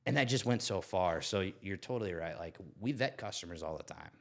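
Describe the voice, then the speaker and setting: A man's voice: sits low, animated, a little fast, vocal fry. An American man talking casually as a podcast guest about his work, earnest and full of anecdotes. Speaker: guest, lawn and landscape business owner.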